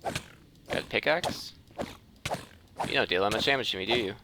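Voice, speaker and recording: A man's voice: on the low side, normal speed, background noise. A man giving casual gameplay commentary, rambling and thinking aloud as he plays. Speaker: gaming video host.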